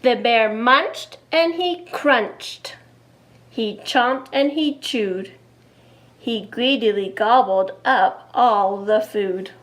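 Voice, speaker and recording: A woman in her thirties reading a picture book aloud, expressively and deliberately, with explaining asides to young children. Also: a little high, a bit bright. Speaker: children's storyteller. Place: small indoor room, seated before a cloth backdrop.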